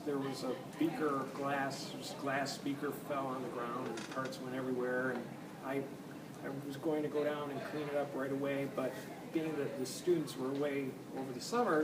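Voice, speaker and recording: A man playing a school janitor who gives a plain, halting witness account. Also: a little high, close to an everyday voice. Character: school janitor plainly recounting finding a victim. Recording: echoey, noisy room, distant mic.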